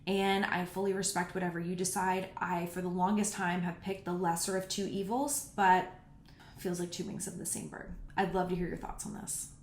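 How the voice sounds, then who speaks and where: A woman's voice: lowish voice, little pitch movement, normal speed, a little hiss or hum. Candid woman in her thirties, roomy echo. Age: 30s. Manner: frank and candid, speaks bluntly about personal topics. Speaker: podcast host answering listener questions. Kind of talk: casual solo podcast talk.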